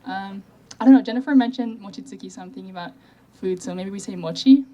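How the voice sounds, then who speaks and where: A woman's voice: mid-range voice, quick pace, mellow, poor audio. A young adult woman co-hosting an event, speaking informally to an audience. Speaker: event co-host. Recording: outdoor event with crowd sounds. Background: crowd.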